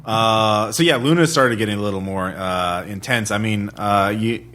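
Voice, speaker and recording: A man hosting a casual podcast chat, asking loosely phrased questions in a halting way. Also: fairly low pitch, fairly bright tone, slight background noise. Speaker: podcast host and fellow game master.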